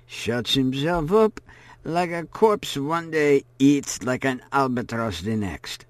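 A man voicing a short, brisk old French woman with a low, pebbly drawl, speaking higher than his own voice. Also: animated, no room sound. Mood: wry.

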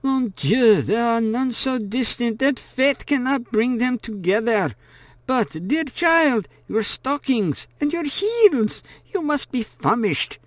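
A man voicing a short, brisk old French woman with a low, pebbly drawl, speaking higher than his own voice. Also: lively intonation, no room sound, full character voice. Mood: fussing.

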